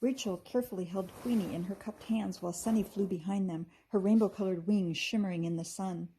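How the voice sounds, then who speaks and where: A woman with a husky voice reading a children's story aloud expressively, like a teacher to her class. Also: medium pitch, fairly even intonation, a little fast, warm tone, rough recording.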